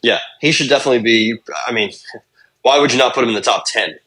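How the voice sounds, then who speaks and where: A man's voice: mid-range voice, fast, sharp tone. A young man co-hosting a sports podcast, talking in a laid-back, rambling way and swearing casually. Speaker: podcast co-host.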